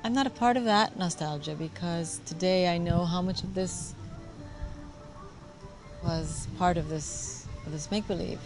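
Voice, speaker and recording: Woman, documentary interviewee, lowish voice, reflective; clear music beneath. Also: measured pace. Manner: reflective, searching for words. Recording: clear music bed under the voice.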